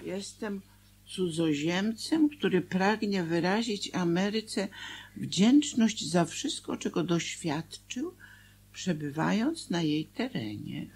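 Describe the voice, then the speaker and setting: Anxious, breathy wife, slow and expressive. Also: female voice, on the low side, heavily acted. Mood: hushed, astonished. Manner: expressive, agitated. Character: anxious, pious, loving wife of a poor townsman. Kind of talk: Polish radio drama acting.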